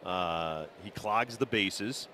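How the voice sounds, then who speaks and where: Lower-voiced male baseball analyst, conversational. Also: slow pace, pushed and bright, slight background noise. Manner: conversational, explanatory. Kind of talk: live analytical sports commentary.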